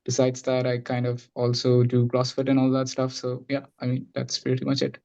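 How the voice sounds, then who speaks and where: Mellow man, flat tone, dull audio. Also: medium pitch, moderate pace. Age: 30s. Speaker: dating show contestant. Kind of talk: casual, rambling answer.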